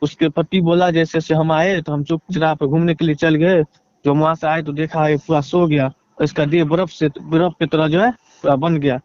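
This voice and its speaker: A man with a Bihari accent giving a halting, deferential account in a phone interview, leaning on repeated filler phrases. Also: on the high side, flat delivery, darkish tone, no room sound. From Bihar, India. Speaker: interviewee, relative seeking justice. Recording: dull lo-fi phone call.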